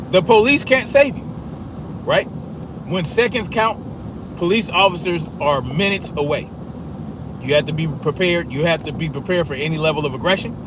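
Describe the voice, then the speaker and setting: A man's voice: on the high side, noisy background. A man who stutters, giving a blunt, profane opinion monologue outdoors. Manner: forceful, profane, repetitive, with a stutter. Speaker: commentator.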